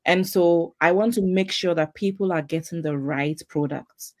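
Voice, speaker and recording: Woman with a low, dry voice. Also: normal speed, dry recording. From Ghana. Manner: chatty, laughs easily, repeats phrases. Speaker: host. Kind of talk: casual live chat.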